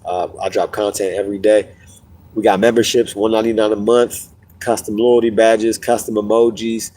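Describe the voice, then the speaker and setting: A man's voice: fairly low pitch, normal speed. A man hosting a casual podcast livestream, telling stories with lots of repeats and restarts, sniffling as he gets over a cold. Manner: animated storytelling, repeats and restarts phrases. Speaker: podcast host.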